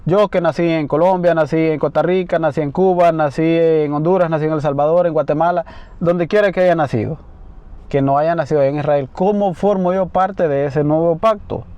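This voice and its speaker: A man preaching a sermon, reading scripture slowly and answering his own questions. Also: a little high, steady tone, a little mellow, clean tone, dry recording. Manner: asks questions and answers them himself; slow, deliberate reading of scripture. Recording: slightly dull, lo-fi sound.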